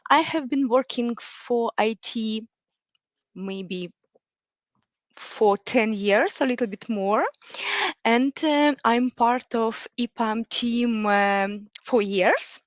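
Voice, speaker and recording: Woman, 30s, from Ukraine, webinar host on a video call, halting English, dry close sound. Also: a little high, no room sound. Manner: hesitant, many fillers, simple non-native English. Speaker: webinar host, marketing manager. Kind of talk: live webinar introduction. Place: office.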